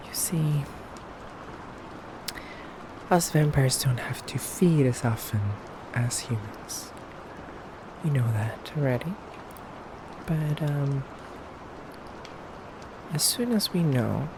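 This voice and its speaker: A man plays a haughty pure-blood vampire questioning his human captive in an ASMR roleplay. He talks slowly, with hesitant pauses and a teasing, condescending politeness. There is clear ambient noise behind the voice. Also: medium pitch, natural voice. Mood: amused. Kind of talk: intimate ASMR roleplay monologue.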